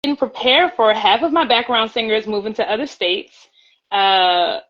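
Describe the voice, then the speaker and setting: A woman's voice: fairly high pitch, expressive, moderate pace, lo-fi sound. A woman singer talking earnestly as a guest in a casual live-stream chat, often repeating her words. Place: at home, by her pantry. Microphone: phone on a live stream.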